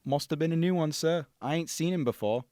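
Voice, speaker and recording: Man acting an eager, high-pitched servant boy. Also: fairly quick, dark tone, no room sound, studio quality, close to an everyday voice. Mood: obliging. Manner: deferential, colloquial, eager. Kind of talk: radio drama acting.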